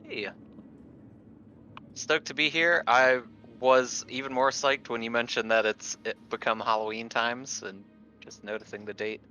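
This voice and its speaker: Bright-voiced man on a dull lo-fi mic. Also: medium pitch, steady tone, a little slow, soft background music. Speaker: tabletop game player. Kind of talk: casual chat. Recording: dull lo-fi mic, faint noise.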